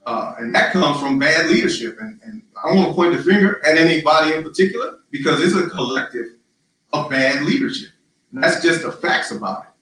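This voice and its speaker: A man running for city council, answering interview questions in a loud, emphatic, rallying way with lots of repetition. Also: a little high, animated, brisk. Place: live-streamed social media forum. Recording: very echoey, distant mic.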